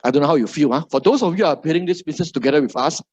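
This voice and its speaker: A man's voice: fairly high pitch, lively intonation, racing, a little mellow, no room sound. A middle-aged man from Malaysia with a Malaysian English accent gives a seminar talk to a live audience, ending nearly every phrase with "right?". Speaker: motivational speaker.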